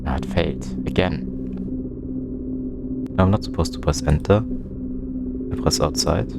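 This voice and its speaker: A man's voice: very deep voice, a little slow, vocal fry, over loud music. A man giving casual gaming commentary, muttering to himself as he puzzles things out. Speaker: game streamer, let's-player.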